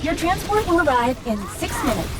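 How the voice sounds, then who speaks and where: An impassive female facility computer from a video game, high in pitch. It gives clipped, procedural announcements in formal phrasing, with music clearly behind it. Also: very animated, fairly quick.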